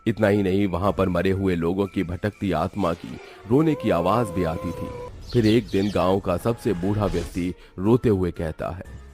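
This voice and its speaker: A man's voice: sits low, moderate pace, darkish tone. A man giving scripted narration of a cartoon horror tale as the storyteller. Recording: music under the voice.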